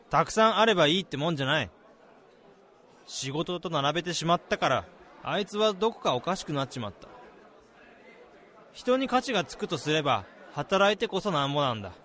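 Casting: male actor as blunt senior salaryman mentor, gruff yet caring, highish voice with lively swings, faint crowd murmur, radio drama. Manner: blunt, casual, firmly advising. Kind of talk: Japanese radio drama dialogue and narration.

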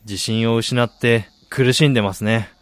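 A man's voice: mid-range voice, steady tone, moderate pace, dry recording, lightly acted. An actor playing a reflective, polite soccer fan who also narrates his own story in a radio drama. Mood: engaged. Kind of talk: Japanese radio drama acting with first-person narration.

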